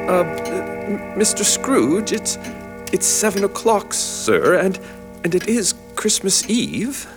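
An actor playing a timid, deferential clerk in a radio drama, hesitant and stammering. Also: male voice, sits high, big pitch swings, slow, music in the background, full character voice. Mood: nervous, deferential. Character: meek, timid male clerk, deferential and nervous.

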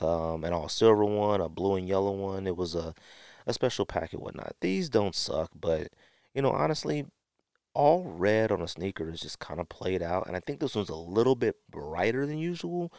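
Male podcast host, low smooth voice, unscripted slangy rambling, a touch of stuffy nose. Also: a little mellow, dry recording, clean studio sound. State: sniffly, stuffy nose late at night. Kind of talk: casual solo sneaker podcast chat.

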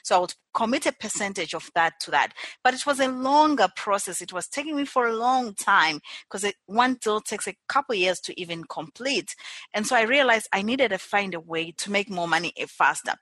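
Bright-voiced woman guest. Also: on the high side, no room sound. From Zimbabwe. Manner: earnest, talks in long connected stretches with small restarts. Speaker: guest, entrepreneur. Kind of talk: conversational interview storytelling.

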